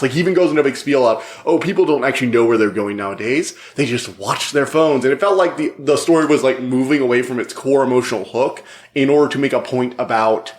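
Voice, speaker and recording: A man hosting a book review podcast, talking casually and a little hoarse, rambling and restarting his thoughts. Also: mid-range voice. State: slightly hoarse. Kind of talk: casual spoiler review monologue.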